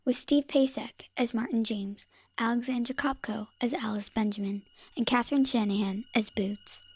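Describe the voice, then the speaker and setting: Woman reading out credits, kinda high voice, breathy and husky. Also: steady tone, moderate pace, darkish tone, dry recording. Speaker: credits announcer.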